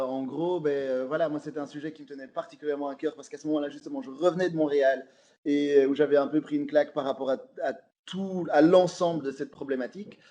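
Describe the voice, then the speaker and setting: A man's voice: fairly high pitch, warm tone. A man with a Belgian French accent telling funny stories in a casual podcast chat, laughing often and filling with lots of fillers. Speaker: guest comedian.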